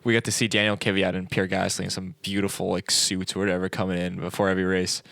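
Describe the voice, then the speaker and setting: A man with a fairly low voice chats on a podcast, teasing his friends with quick quips. His voice is recorded dry and close. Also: level tone, gravelly, a bit bright, dry recording. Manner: teasing, quick interjections and laughter. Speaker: podcast co-host. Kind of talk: casual chat.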